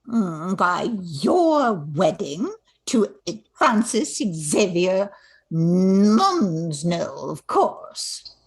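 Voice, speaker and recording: Woman playing a slow, husky, meddling older mother. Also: fairly low pitch, big pitch swings, heavily acted. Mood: coaxing, insistent. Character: genteel, meddling society mother of a grown daughter. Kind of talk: radio comedy play acting.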